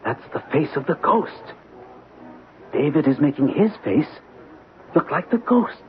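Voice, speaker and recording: An actor playing a curious husband who asks questions during a séance, in a 1970s radio drama. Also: male voice, on the high side, expressive, measured pace, soft background music. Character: uneasy husband watching a séance. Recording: narrow, hissy old radio broadcast.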